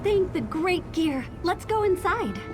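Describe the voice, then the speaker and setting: A woman's voice: extremely high pitch, sing-song, slow pace, exaggerated voice. A woman voicing an eager, anxious young apprentice hopeful in a fantasy game, speaking earnestly. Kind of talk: AI-voiced fantasy game dialogue. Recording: music behind the voice. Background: game music.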